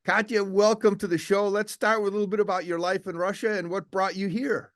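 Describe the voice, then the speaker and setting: A man hosting an interview show, reading a measured, formal introduction and asking questions. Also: high-pitched, flat delivery, no room sound, clean studio sound. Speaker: podcast host.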